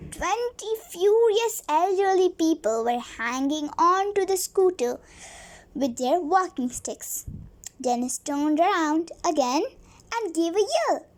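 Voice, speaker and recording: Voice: very high voice, expressive, takes it slowly. A child reading a storybook aloud, stumbling over words and repeating phrases now and then.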